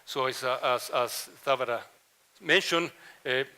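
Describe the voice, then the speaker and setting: Latin American-accented professor, bright voice. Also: male voice, medium pitch. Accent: Latin American Spanish. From Mexico and Ecuador. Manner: halting, many fillers and restarts. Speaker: professor, panel speaker. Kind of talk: conference panel presentation.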